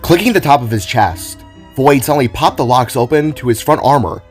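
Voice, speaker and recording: A man's voice: medium pitch, lively intonation, brisk. A man giving a scripted narration of an audio drama, as its storyteller. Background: music.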